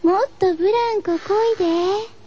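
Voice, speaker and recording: A woman playing an eerie, playfully menacing ghost girl in a Japanese horror radio drama, speaking in a slow, teasing, childlike way. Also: extremely high pitch, dull audio, noisy background.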